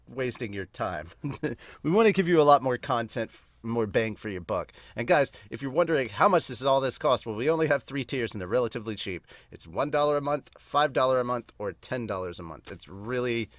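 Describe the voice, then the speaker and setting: A man's voice: mid-range voice, lively intonation, brisk, dry recording. A man who hosts a podcast, talking casually to viewers in a chatty, rambling, self-correcting way. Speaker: podcast host and amateur manga dubber. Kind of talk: casual unscripted talk to viewers.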